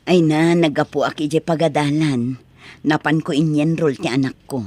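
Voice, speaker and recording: Lady acting supportive wife and mom, ilocano accent, gentle chatty talk, dry recording, audio drama. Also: low voice, fairly even intonation, moderate pace, dry recording. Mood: practical. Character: supportive, practical wife and mother.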